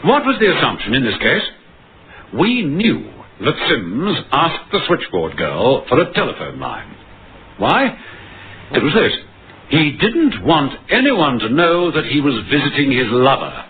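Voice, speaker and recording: Stern radio-drama police inspector. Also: male voice, mid-range voice, slight background noise. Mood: confident, explaining. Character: stern, probing male police inspector, coolly confident. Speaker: lead actor and narrator. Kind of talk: old-time radio crime drama acting. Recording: muffled old radio broadcast with music.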